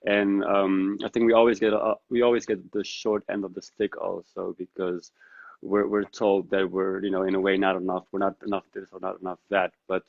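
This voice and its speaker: Flat-voiced man, thinking aloud. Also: low voice, normal speed, gravelly, no echo. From Netherlands. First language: Dari. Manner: reflective and earnest, thinking aloud. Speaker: podcast guest. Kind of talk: casual interview conversation. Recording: dull lo-fi sound.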